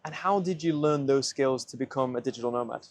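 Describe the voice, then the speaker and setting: A man's voice: mid-range voice, fairly quick, no room sound. Upbeat man interviewing on the street. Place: outdoors on city streets.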